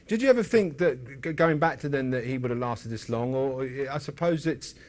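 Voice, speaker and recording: A man hosting an informal sports interview, speaking haltingly and repeating words as he frames his questions. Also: mid-range voice, lively intonation. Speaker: interview host.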